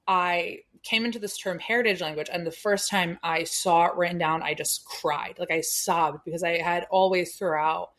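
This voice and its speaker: A woman's voice: fairly low pitch, fairly even intonation, normal speed, hardly any pauses, a bit bright. A young adult American woman, a podcast guest, explaining things thoughtfully with some fillers. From United States. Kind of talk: conversational interview.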